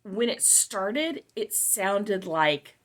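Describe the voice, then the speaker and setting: A woman's voice: mid-range voice, expressive, drawn-out words, fairly bright tone. A middle-aged woman giving a casual, conversational product review, repeating key phrases for emphasis.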